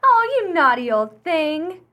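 A woman's voice: very high voice, very animated, measured pace, pushed and bright, slight background noise, full character voice. An actress playing a playful, brazen young woman in a radio play, with a teasing manner. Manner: giggly, teasing.

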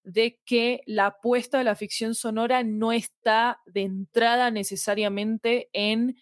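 A woman's voice: fairly high pitch, fairly even intonation, measured pace, no room sound, studio quality. A woman in a conversational discussion, speaking in an articulate, explanatory and emphatic way. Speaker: interview guest, fiction podcast specialist.

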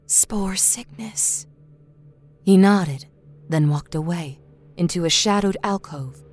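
A woman's voice: fairly low pitch, measured pace. A woman reading a scripted story as a dramatic storyteller. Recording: faint music bed.

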